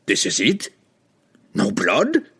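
A cruel fantasy guildmaster, acted out by a male narrator in a husky voice that swings wildly in pitch. He is imperious and volatile, dragging his words out slowly with theatrical menace, as a storybook villain commanding his underlings. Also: huge pitch swings, heavily acted. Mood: outraged. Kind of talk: fantasy audiobook character acting.

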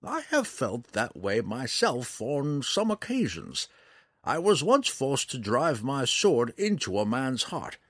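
A kindly, wise old ranger as a male audiobook narrator plays him. He speaks slowly and musingly, with big swings in pitch. The voice is husky and closely recorded. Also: huge pitch swings, dry recording, studio quality, heavily acted. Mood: grave, consoling. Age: older. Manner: slow, measured, musing delivery. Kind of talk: audiobook character voice.

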